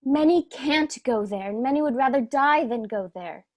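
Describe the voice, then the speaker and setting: A woman's voice: high voice, lively intonation, normal speed. A woman in an amateur radio play, playing a genteel lady collecting for charity, speaking formally and earnestly. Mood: earnest pleading. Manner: polite, formal, pleading.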